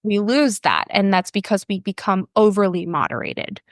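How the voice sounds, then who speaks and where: An American woman, a therapist guest on a podcast, explaining ideas in an informal, animated conversational way. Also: medium pitch, normal speed, no echo, clean studio sound. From New Orleans, United States.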